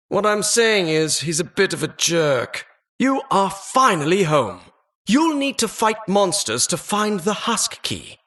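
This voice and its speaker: A man's voice: high voice, a bit bright, full character voice. A man voicing a talking dungeon as a pompous, mock-polite, sardonic butler-like host in a video game. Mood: smug. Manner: pompous, mock-polite, arch formal phrasing. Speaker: game announcer. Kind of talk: theatrical video game voice-over.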